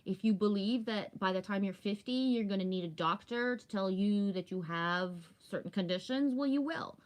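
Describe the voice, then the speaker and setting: A woman's voice: mid-range voice, normal speed. Woman around 50 doing a casual spiritual livestream, rambly and emphatic, keeps checking in with listeners. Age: about 50. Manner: candid, swears casually. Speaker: livestream host.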